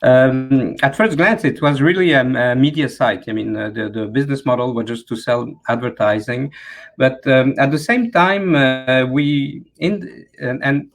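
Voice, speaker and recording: This man from Quebec grew up speaking French and carries a clear Quebec French accent into his English. His voice sits at an ordinary mid pitch. He speaks hesitantly, often pausing and filling gaps as he searches for words. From Quebec, Canada. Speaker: panel guest. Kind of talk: conversational interview answers.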